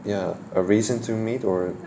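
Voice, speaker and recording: A man conducts a casual interview with a fellow student in English. His voice sits fairly low, and he speaks haltingly, searching for words and restarting questions. Faint background noise runs under the recording. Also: fairly even intonation, warm tone.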